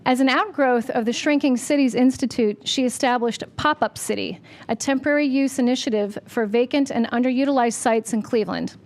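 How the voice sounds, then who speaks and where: A woman welcoming the audience and reading announcements at a public library forum, with a loud voice and careful, deliberate delivery of dates and times. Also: fairly high pitch. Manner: says she has a loud voice. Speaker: library communications manager introducing a forum. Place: public library meeting room.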